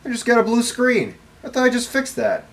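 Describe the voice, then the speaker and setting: A man's voice: very high-pitched, huge pitch swings, fairly quick, fairly bright tone. A young man acting in an amateur comedy skit with exclamatory, exasperated delivery, recorded on a camera in a bedroom. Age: young adult. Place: small home bedroom, indoors.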